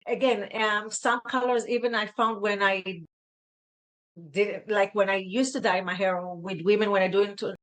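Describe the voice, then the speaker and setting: Israeli woman, earnest and halting. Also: medium pitch, steady tone, a bit bright, rough recording. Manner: earnest, halting, frequent "right?" tags. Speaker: guest, fashion stylist. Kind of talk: casual interview chat.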